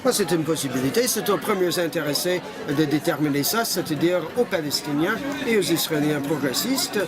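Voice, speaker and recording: An older man from Canada answers questions on a busy street, his slightly high voice full of pauses and restarts. A crowd murmurs loudly behind him. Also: expressive, over music. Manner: hesitant, many fillers and restarts. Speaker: interviewee, association founder. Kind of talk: street interview. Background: crowd noise.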